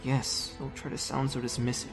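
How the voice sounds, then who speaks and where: A man voicing an earnest young soldier leader who speaks calmly and conciliatorily. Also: medium pitch, normal speed, creaky, music in the background, lightly acted. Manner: calm, conciliatory.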